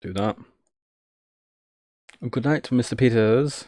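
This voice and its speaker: A man's voice: medium pitch, slow, a little mellow, no echo. A man casually thinking aloud on a live tech stream, jokey and self-deprecating, muttering each step as he works.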